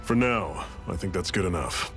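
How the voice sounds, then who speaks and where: An actor playing a middle-aged, easygoing rebel leader in a video game, measured, reassuring and plainspoken. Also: male voice, low-pitched, lively intonation, fairly bright tone. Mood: frank, steady. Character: seasoned, easygoing male rebel leader, fatherly and pragmatic. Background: background music.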